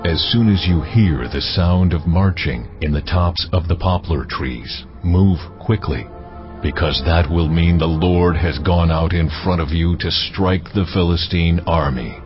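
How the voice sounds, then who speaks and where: An actor playing God in a dramatized audio Bible, solemn, weighty and commanding. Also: male voice, low voice. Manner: slow, weighty, authoritative. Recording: dull, lo-fi audio. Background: faint music.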